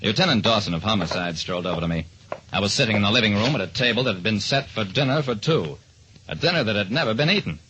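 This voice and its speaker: A man's voice: on the low side, lively intonation, quick pace, background noise, lightly acted. An actor, a man, playing a hard-boiled private detective who narrates his case in a clipped, dramatic old-radio style. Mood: wry, dramatic. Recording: dull old-time radio recording.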